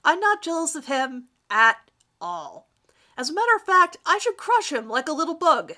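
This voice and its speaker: Vain, smitten schoolboy schemer played by a woman, bright, higher-pitched delivery, overdramatic fan fiction narration. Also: big pitch swings, moderate pace, exaggerated voice. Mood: frustrated, boastful. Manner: dramatic, overwrought emphasis. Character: lovestruck, vain teenage boy narrating his scheming crush. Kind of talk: melodramatic first-person fan fiction reading.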